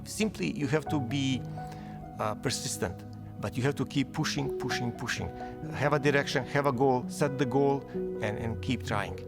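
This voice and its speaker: A man in his fifties, originally from Bosnia, speaking English with a Bosnian accent in an interview, halting and repeating words as he searches for phrasing. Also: mid-range voice. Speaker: award recipient. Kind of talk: recorded interview testimonial. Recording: music bed underneath. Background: music.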